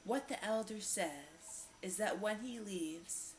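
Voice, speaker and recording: A woman leads a guided visualization at a medium pitch, lingering over phrases and repeating them soothingly. Her voice rings with noticeable room echo, and a faint layer of noise sits behind it. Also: slow pace. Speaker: guided meditation host. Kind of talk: guided meditation visualization narration.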